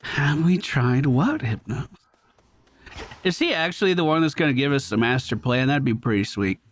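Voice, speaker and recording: A man doing casual gameplay commentary as a streamer, chatty, informal and expressive. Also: mid-range voice, normal speed, slight background noise. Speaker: game streamer.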